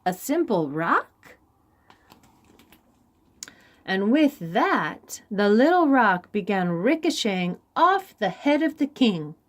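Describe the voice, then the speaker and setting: Slow, expressive woman reading a storybook. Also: medium pitch. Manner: dramatic, deliberate, with pauses for young listeners. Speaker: children's story reader. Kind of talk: expressive storybook read-aloud.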